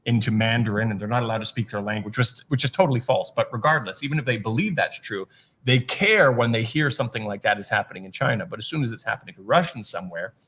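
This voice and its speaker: A man's voice: lowish voice, lively intonation, fast talker, fairly bright tone, slightly rough. A man giving conversational political commentary as a livestream panelist, arguing his point.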